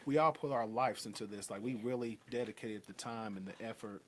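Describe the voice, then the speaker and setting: A man's voice: lowish voice, a little fast, slight background noise. A man chatting informally as an actor on a guest panel, chiming in with casual interjections.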